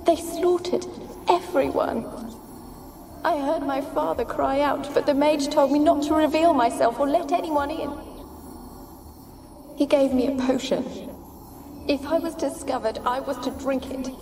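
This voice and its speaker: A woman's voice: sits high, fairly even intonation, a little slow, pauses often, a little hiss or hum. An actress playing the lonely, haunted ghost of a young noblewoman in a video game, with a plaintive, dramatic delivery. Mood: anguished, fearful. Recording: loud music under the voice. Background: game soundtrack music.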